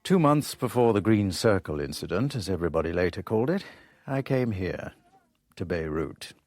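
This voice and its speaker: An actor playing a skeptical foreign correspondent in a radio drama, dry and laconic. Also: male voice, sits low, big pitch swings, moderate pace, a little mellow, no echo. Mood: wry, narrating. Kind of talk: radio drama narration and dialogue.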